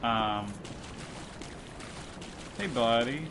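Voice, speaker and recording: A man's voice: mid-range voice, extremely slow, background noise. A man giving casual, jokey and profane commentary while hosting a video game stream. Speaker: host playing and explaining the game. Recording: loud game music behind voice. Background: loud video game music.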